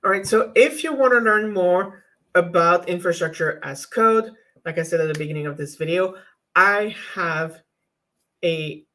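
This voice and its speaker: A woman's voice: fairly low pitch, normal speed, fairly bright tone. A woman whose first language is French, chatting casually in a vlog and giving candid, practical advice with frequent restarts. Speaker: YouTuber teaching cloud infrastructure.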